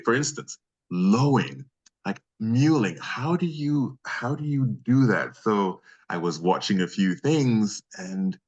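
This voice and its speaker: A man speaking conversationally as an interviewed voice actor, in a reflective, halting way with emphatic repeats. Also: low-pitched, fairly bright tone.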